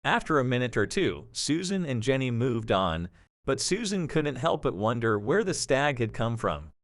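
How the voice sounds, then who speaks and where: A man's voice: mid-range voice, sing-song, moderate pace, no echo, clean studio sound. A man narrating a scripted story for an audio drama.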